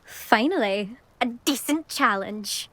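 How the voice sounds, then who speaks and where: A woman's voice: fairly high pitch, lively intonation, slow pace, sharp tone, exaggerated voice. A young woman with a British accent playing a brash, competitive teenage racing champion in a video game, haughty and grudging with praise. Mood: haughty challenge.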